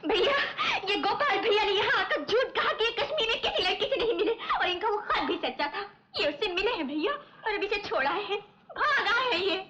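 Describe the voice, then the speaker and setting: An actress playing a distraught young sister in an old Hindi film melodrama, pleading and defiant with theatrical emotion. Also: female voice, very high voice, animated, moderate pace, a crowd in the background. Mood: upset, urgent. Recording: dull, lo-fi old film soundtrack, hissy.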